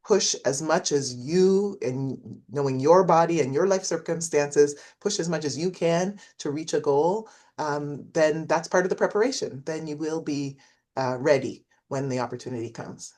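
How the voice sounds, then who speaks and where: Woman, low pitch, middle-aged, professor guest, reflective storytelling. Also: moderate pace, a little mellow. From Canada. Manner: reflective, storytelling, acts out quoted voices. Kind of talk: interview conversation.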